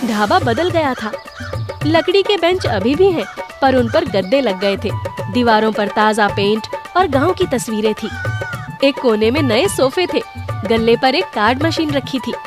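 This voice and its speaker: A woman's voice: fairly high pitch, animated, measured pace. A woman reading scripted dramatic narration for a cartoon story. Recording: music under the voice.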